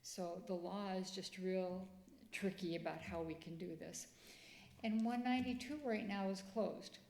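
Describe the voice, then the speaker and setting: A middle-aged woman, speaking softly in a church presentation. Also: medium pitch, a little slow, gravelly. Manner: soft-spoken, quiet voice. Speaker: ministry speaker. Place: church sanctuary. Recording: echoey church room.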